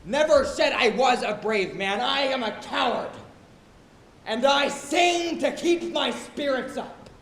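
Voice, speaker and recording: A man's voice: very high voice, lively intonation, slow, a bit bright, heavily acted. A man playing a jesting second mate who covers fear with boisterous, singsong bravado on a theatre stage. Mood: defiant. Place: stage of a college auditorium. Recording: very echoey auditorium with music.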